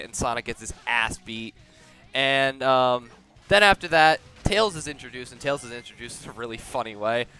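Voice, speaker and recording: Young guy chatting through a review, bright voice, keeps restarting words, right up on the mic, faint music behind. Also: mid-range voice. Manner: halting, repeats and restarts words often. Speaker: video reviewer. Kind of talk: casual movie review chat. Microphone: very close to the mouth. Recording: close, hot microphone.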